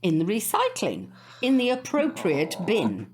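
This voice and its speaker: An actress playing a prim, disapproving, snobbish neighbour woman in an audio drama, husky-voiced. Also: medium pitch, wildly swooping pitch, measured pace, a little mellow. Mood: haughty, disapproving. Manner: prim, haughty, clipped.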